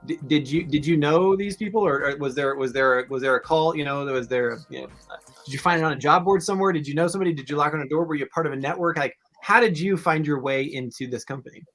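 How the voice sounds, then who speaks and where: A man's voice: fairly high pitch, fast, fairly bright tone. A man hosting a live video interview show, enthusiastic and rambling, often restarting his sentences. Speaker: host.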